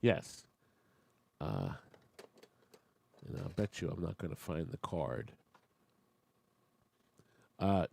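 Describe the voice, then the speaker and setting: A man's voice: fairly low pitch, gravelly, no echo. A man hosting a live online auction, chatting with bidders and making joking asides while losing his voice. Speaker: auctioneer and show host.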